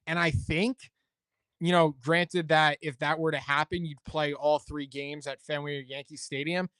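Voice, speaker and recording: Male sports podcaster, smooth tone, dry close sound, casual and conversational, reasons things out as he goes. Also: a little high, steady tone, moderate pace, a bit bright, no echo. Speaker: sports talk show host. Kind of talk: casual sports commentary chat.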